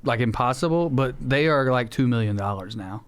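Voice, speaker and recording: Clear-voiced American man, casual podcast banter. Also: mid-range voice, animated, fairly quick. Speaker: podcast co-host and comedian. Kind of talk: casual comedic podcast chat.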